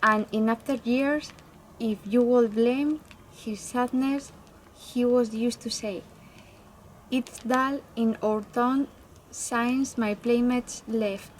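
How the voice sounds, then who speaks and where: Higher-voiced woman reading verse slowly. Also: fairly even intonation, slight background noise, low-quality recording. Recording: faint background ambience.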